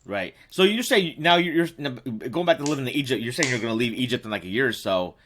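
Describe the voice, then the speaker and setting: Man, podcast host, middle pitch, casual loose questions, fillers. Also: expressive, fast, fairly bright tone, slight background noise.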